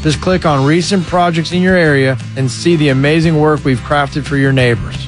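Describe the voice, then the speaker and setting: Brief: male business owner, earnest read, slightly high, clear guitar music behind. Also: normal speed. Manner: proud, earnest. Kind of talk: scripted commercial read. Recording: commercial with guitar music under.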